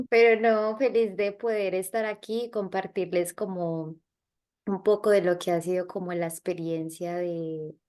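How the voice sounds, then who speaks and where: A woman from Medellín speaking as a light-hearted guest on a talk show, laughing as she talks. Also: mid-range voice. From Medellín, Colombia.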